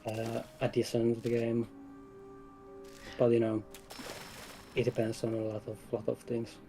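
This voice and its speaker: A man's voice: medium pitch, completely flat delivery, measured pace, warm tone, soft background music, low-quality recording. A man speaking casually and a little hesitantly as a game developer guest in an interview. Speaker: game developer, lead designer, stream guest.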